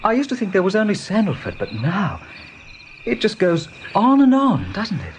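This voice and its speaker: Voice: medium pitch, huge pitch swings, moderate pace, slight background noise. An actor playing a timid, wide-eyed little young rabbit in a radio play. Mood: awed. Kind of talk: radio drama acting.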